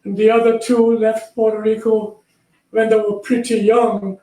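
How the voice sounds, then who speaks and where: A man, answering interview questions politely and in a measured way. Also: sits high, level tone, warm tone, reverberant, rough recording. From Trinidad. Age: 80s. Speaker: guest, recovered patient.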